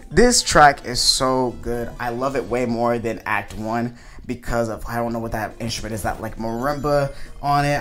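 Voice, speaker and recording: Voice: medium pitch, fairly bright tone. Someone giving casual, gushing video game commentary in an enthusiastic, emphatic, rambling way. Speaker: video creator reviewing a game. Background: game music.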